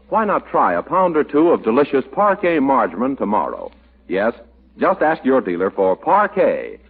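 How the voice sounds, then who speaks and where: An American man announcing and reading sponsor copy in polished 1940s radio style. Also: medium pitch. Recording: tinny, rough vintage radio broadcast.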